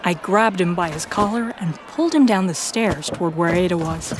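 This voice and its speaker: A woman's voice: on the low side, moderate pace. A woman reading scripted first-person narration for a fiction audio drama. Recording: clear background noise and ambience.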